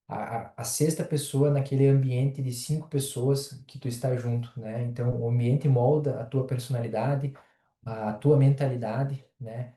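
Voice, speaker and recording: A man talks with a very dark, mellow voice, halting now and then on filler sounds. The recording sits in an echoey room. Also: medium pitch. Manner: relaxed, hesitant with many fillers. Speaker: podcast guest, affiliate marketer. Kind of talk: casual podcast chat.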